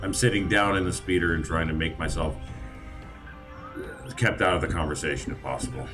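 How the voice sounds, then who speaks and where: A man playing a tabletop game, excitable and jokey. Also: sits low, fairly quick, over music. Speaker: player. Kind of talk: tabletop play.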